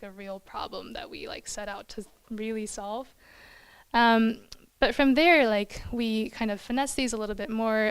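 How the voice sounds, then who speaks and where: Young female presenter, slightly high and exceptionally clear voice, conversational, informal conference-talk delivery on a dry handheld mic. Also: no room sound. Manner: conversational, peppered with "like" and "kind of". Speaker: product designer giving a conference talk. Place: small indoor event room. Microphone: handheld microphone.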